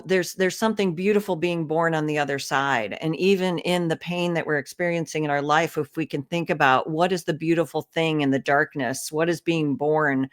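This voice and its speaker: Earnest woman reflecting as a guest. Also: sits low. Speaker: podcast guest. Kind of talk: reflective interview answers.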